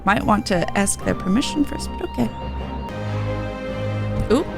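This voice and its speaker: A woman's voice: medium pitch, expressive, unhurried, gravelly. A woman streaming a game, reading dialogue aloud and dropping in playful, casual asides. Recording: music under the voice.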